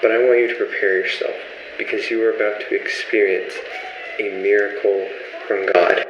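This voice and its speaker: Man as kind young pastor, measured, amateur radio drama, muffled sound, clear background noise. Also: fairly low pitch, fairly even intonation, natural voice. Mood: solemn. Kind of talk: amateur old-time radio play acting. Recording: echoey, muffled, noisy amateur recording.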